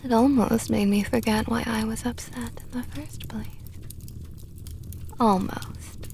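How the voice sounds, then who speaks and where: A woman voicing a possessive, flirtatious female vampire knight in an ASMR roleplay, talking softly and often in a whisper with a teasing tone. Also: fairly high pitch, steady tone, a little slow, gravelly, a little hiss or hum. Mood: tender teasing.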